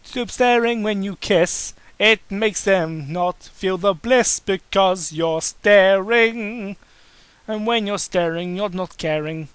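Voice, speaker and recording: A man's voice: high-pitched, slow pace, fairly bright tone, smooth, dry recording. A man doing a dramatic reading of fan fiction, breaking off into sarcastic, sweary asides.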